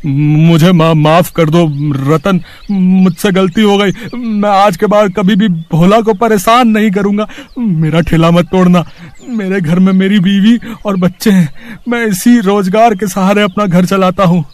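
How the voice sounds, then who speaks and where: A man playing a timid, humble common man in an animated moral story, earnest and plaintive. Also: high-pitched, unhurried, dry recording. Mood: frightened, pleading.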